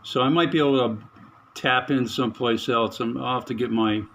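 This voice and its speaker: A middle-aged man casually narrating his radio repair in a small spare room at home, halting with fillers and soft chuckles. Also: medium pitch, slight background noise. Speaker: radio hobbyist vlogger.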